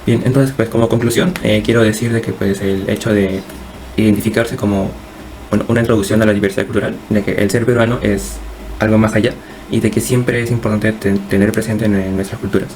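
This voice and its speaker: A man's voice: lowish voice, fairly even intonation, fairly quick, darkish tone, a little hiss or hum, rough recording. A young man with a Peruvian accent giving an informal class presentation as a student, hesitant and often repeating words. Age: young adult.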